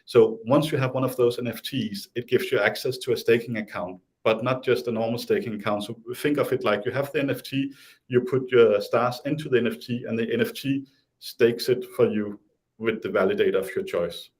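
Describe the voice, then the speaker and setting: A man's voice: medium pitch, brisk. A man with a Danish accent, talking casually as an interview guest, hesitant with many restarts and fillers.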